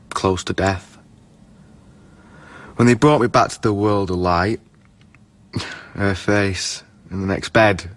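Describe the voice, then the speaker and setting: A man playing a remorseful, tender former junkie, telling his story in measured rhyme in a poetic radio drama. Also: sits low, fairly bright tone, exaggerated voice. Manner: measured, rhyming, confessional storytelling.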